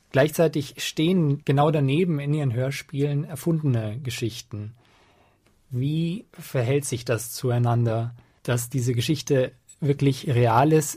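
A man working as a radio interviewer, putting measured, considered questions to a guest. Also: medium pitch, mellow, slightly rough.

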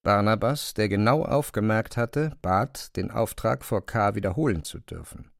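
A man's voice: on the low side, normal speed, creaky, no echo. A man narrating a classic novel as a scripted literary reading, close to a studio microphone.

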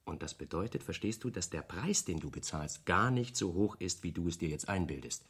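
An actor playing a calm, elitist devil in a radio drama, negotiating in a measured, formal, reasoning manner. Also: male voice, deep voice, breathy edge, exaggerated voice. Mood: calm, persuasive.

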